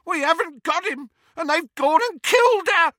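A man voicing a brutish, hot-tempered, cowardly villain wizard who bellows in rough, ungrammatical speech, in an audiobook. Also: medium pitch, sing-song, unhurried, sharp tone, dry recording, clean studio sound, voice pushed up, full character voice. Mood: panicked rage. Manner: bellowing, uneducated non-standard grammar.

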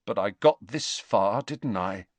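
A man's voice: lowish voice, lively intonation, slow, no echo. A man voicing a frightened, shaking teenage boy who is desperate. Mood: defiant. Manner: shaky, desperate.

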